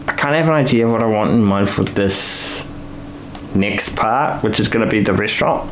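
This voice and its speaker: A man's voice: fairly low pitch, slight background noise, poor audio. A man live-streaming a building game, rambling and thinking aloud with lots of restarts while he is losing his voice.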